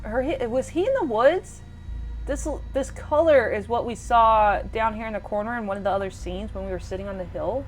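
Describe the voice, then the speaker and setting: A woman with a fairly high voice hosts a game playthrough. She switches between reading narration and casual, reactive musing to herself. Faint music runs underneath. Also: normal speed. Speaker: gaming video host. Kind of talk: game playthrough commentary and read-aloud narration.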